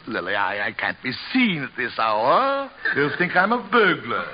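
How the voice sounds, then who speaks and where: An actor playing a pompous, easily affronted stage actor in theatrical 1950s radio comedy style. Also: male voice, mid-range voice, huge pitch swings, normal speed. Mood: bewildered, grumpy. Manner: theatrical, indignant. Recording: dull, noisy old-time radio recording.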